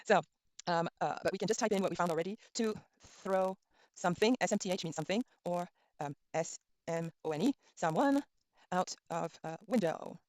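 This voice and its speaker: A middle-aged woman whose first language is Norwegian runs a relaxed live lesson with a fairly low voice and a dry, close sound. She chats easily, spells words out one letter at a time and scatters ums through her talk. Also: brisk, no room sound. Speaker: language teacher streaming a class. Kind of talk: casual online lesson, thinking aloud while browsing.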